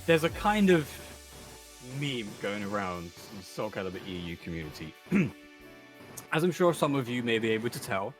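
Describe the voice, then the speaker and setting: A man with a deep, low cadence doing excitable, jokey live commentary on a fighting-game tournament. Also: normal speed, over music. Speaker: esports commentator.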